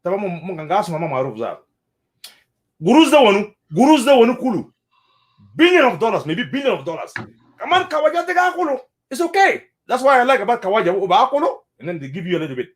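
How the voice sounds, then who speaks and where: South sudanese guy, high voice that jumps around a lot, repeats himself, casual chat. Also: fast talker. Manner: animated, repeats words, mixes in Arabic phrases. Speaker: interviewee. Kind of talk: casual spoken commentary.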